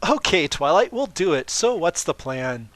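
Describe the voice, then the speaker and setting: A man's voice: a little high, sing-song, moderate pace, a bit bright, no room sound, voice pushed up. Male reader as young cartoon pony student, female, hesitant, dry, matter-of-fact. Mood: resigned.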